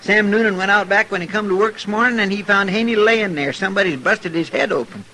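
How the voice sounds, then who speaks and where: Earnest cowboy sidekick, high voice, rural American drawl, folksy and deferential, old radio western, slight hiss. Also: male voice, quick pace, pushed and bright. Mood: anxious. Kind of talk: 1950s western radio drama acting. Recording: noisy old radio broadcast recording.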